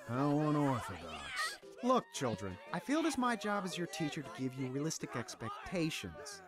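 A performer voicing a shady, desperate schoolteacher in an anime parody dub, patronizing and pitching like a salesman. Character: shady, desperate schoolteacher cheerfully discouraging students.

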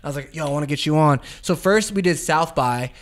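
Male host, quick and a bit high-voiced, animated studio chat. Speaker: podcast host. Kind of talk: casual interview chat. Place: podcast studio. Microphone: studio mic on boom arm.

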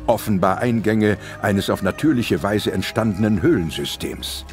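A man's voice: lowish voice, normal speed, soft background music. A man narrating a scripted audio play in a dramatic storytelling style.

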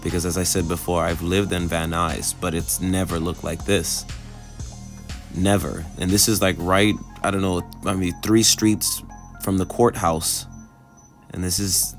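A man in his thirties giving a casual, musing vlog commentary, often repeating phrases and asking rhetorical questions. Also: low-pitched. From moved to California in 2005. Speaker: vlogger. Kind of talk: casual observational vlog narration. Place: outdoors on city streets and in a car, phone vlog. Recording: background music under street narration.

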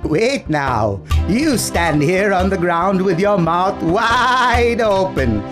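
Woman playing a slow, clever cartoon goat. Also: medium pitch, sing-song. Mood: calmly coaxing. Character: clever female goat calmly tricking a wolf. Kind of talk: children's animated fable. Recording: loud music under voice. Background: music.

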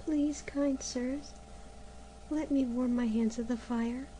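A woman voicing a timid, gentle young girl who pleads softly. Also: high voice, fairly even intonation, takes it slowly, slight background noise, voice pushed up. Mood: pleading. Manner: soft, pleading.

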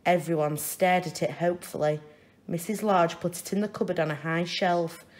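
A woman reading a children's story aloud in an expressive, deliberate way, with careful phrasing. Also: on the low side. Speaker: storybook reader. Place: indoors, reading a picture book at a wooden table.

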